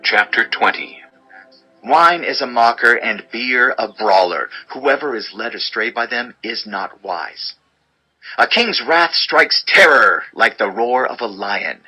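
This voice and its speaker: Bright, forceful man reading scripture. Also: mid-range voice, lively intonation, slow pace, husky, rough recording. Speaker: audio Bible narrator.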